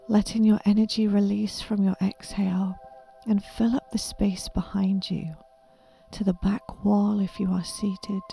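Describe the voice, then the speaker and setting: Woman doing guided meditation, super slow n gentle, whispery breathy voice, bit of creak, soft music behind. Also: medium pitch. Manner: soothing, gentle, deliberate.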